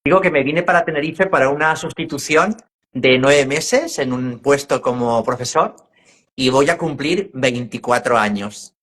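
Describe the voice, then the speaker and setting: A man's voice: medium pitch, moderate pace, a bit bright. A man in his fifties from La Mancha, a former teacher, talking reflectively as a guest in a casual video-call interview. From La Mancha, Spain. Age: 50s. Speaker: guest, educator and trainer.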